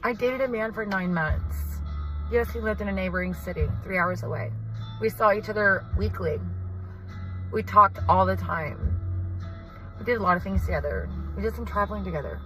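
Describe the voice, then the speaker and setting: Woman storytime vlog, plain mid voice, clear guitar music underneath. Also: mid-range voice, moderate pace, low-quality recording. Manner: blunt, matter-of-fact telling.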